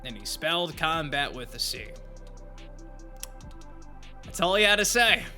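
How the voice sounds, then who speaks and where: A man with a bright, forceful voice set a little high talks as a gaming channel host. He gives casual opinions in loose, slangy language and speaks straight to his viewers. Music plays behind him. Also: very animated, a little slow. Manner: colloquial, profane, addresses viewers directly.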